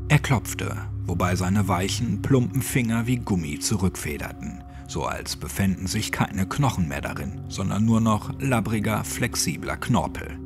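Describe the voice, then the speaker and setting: A man with a fairly deep voice narrates a scripted horror story in audiobook fashion. Music plays clearly behind his reading. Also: expressive, measured pace, hardly any pauses.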